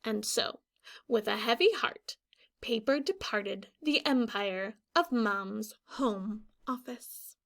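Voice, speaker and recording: A woman teacher reads a children's picture book aloud in a high voice. Her pace is slow and drawn out, and her delivery is dramatic and expressive. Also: vocal fry. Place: small indoor classroom or office.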